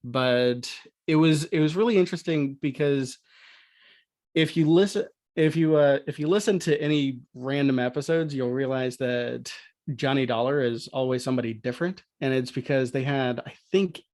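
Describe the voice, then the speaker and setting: Male podcast guest, conversational and somewhat halting explainer, recorded dry. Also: mid-range voice, a little mellow, no echo. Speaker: podcast guest, audio drama producer. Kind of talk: casual explanatory chat.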